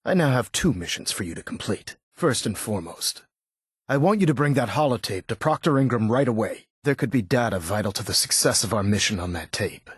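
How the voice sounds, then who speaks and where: A man playing a stern, zealous military leader in a video game, speaking in a formal, authoritative, measured way. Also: lowish voice, lively intonation, husky, no echo, studio quality. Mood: commanding. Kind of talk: video game dialogue acting.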